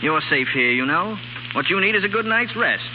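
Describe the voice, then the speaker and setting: Casting: skeptical, gallant gentleman, highish voice with lively swings, 1930s radio drama on a muffled, noisy recording. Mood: reassuring, amused. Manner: wry, brisk, polite. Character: gallant, skeptical young gentleman, wry and reassuring.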